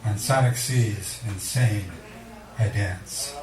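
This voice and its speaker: A man's voice: lowish voice, far from the mic, crowd noise. Man reading poetry in an echoey room. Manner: measured, deliberate delivery with dramatic pauses. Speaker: poet reading at a poetry event. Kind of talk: live poetry reading with casual introductions. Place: indoor cafe venue before a live audience. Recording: echoey room recorded from afar, audience murmur.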